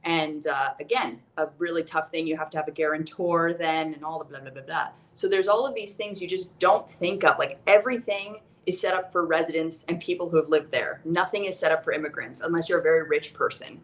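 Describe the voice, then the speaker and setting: A young American woman, a guest on a podcast, telling stories about moving abroad in a chatty, animated way. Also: sits low, fairly quick, few pauses. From United States. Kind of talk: casual podcast conversation.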